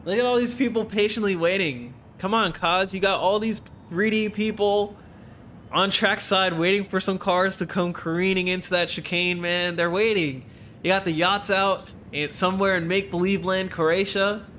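Young male streamer, congested, bright and forceful, chatty and joking with chat, home desk mic, faint hiss. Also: high voice, steady tone, normal speed. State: congested, stuffed-up nose. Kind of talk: casual live-stream chat with viewers. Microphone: desk mic, webcam framing.

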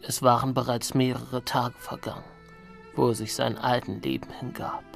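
A man's voice: mid-range voice, level tone, moderate pace, creaky. A man giving dramatic, scripted narration for an audio drama. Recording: loud music under the voice.

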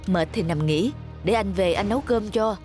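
A man plays a gentle young husband and new father in a cartoon. He speaks tenderly and solicitously to his family, as a devoted dad. Music plays behind the voice. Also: high voice, normal speed, natural voice. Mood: concerned. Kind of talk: cartoon voice acting.

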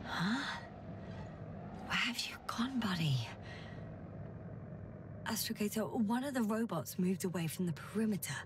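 A woman playing a determined, curious scientist exploring an alien planet in a video game, with measured, murmuring delivery. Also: mid-range voice, animated, recorded from a distance, background noise, close to an everyday voice. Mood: puzzled, wary. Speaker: doctor on a space crew. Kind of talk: naturalistic video game acting.

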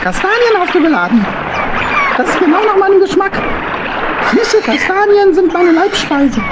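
A woman's voice: extremely high pitch, big pitch swings, normal speed, very noisy. A woman playing a small, chatty, food-loving hedgehog in a children's radio play.

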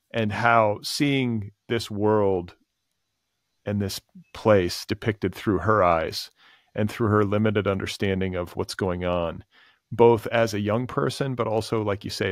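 A man's voice: lowish voice, no room sound, clean studio sound. A man hosting a podcast, asking long casual questions filled with "like" and "sort of". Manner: casual, rambling questions full of "like". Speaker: podcast host. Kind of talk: podcast interview.

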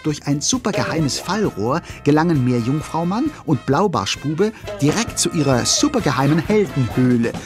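A man's voice: on the high side, sing-song, moderate pace, few pauses, over music. A man playing a wry, playful narrator who addresses listeners in a German children's audio drama. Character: wry, chatty male storyteller.

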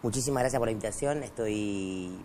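A man's voice: lowish voice, a little fast, a little mellow, slight background noise. A middle-aged man from Argentina, raised in Bolivia, whose first language is Quechua, talking as a guest writer in a TV studio interview on a lapel mic, with many fillers and restarts. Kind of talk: casual interview talk.

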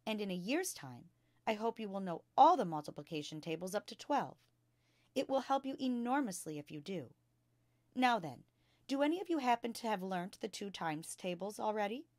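An earnest, kindly young schoolteacher from a children's storybook, acted out by a woman reader. She addresses her class with clear, emphatic instruction, and the sound is close and dry. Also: mid-range voice, sing-song, moderate pace, darkish tone, no echo, close to an everyday voice. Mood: encouraging. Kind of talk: children's storybook read-aloud.

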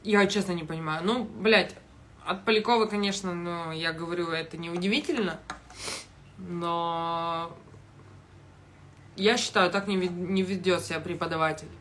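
A young woman giving casual video commentary in a blunt, halting, heavily sweary manner, recorded on a phone in a kitchen. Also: lowish voice, steady tone, fairly bright tone. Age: young adult. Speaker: reality show commentator. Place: small kitchen indoors. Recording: noticeable background noise.

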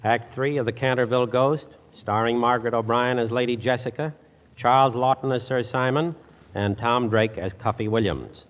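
A man's voice: medium pitch, fairly even intonation, normal speed. A man announcing and narrating a scripted 1940s radio drama. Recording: muffled old radio broadcast.

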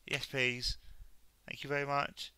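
A man with a sore, croaky throat, nervously muttering his way through a horror game. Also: mid-range voice, level tone, unhurried, sharp tone, slight background noise. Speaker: let's play gamer. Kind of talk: casual gameplay commentary.

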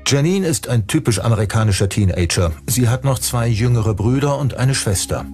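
A man's voice: medium pitch, lively intonation, normal speed, a little mellow, lightly acted. A man reading scripted true-crime documentary narration as the narrator. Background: background music.

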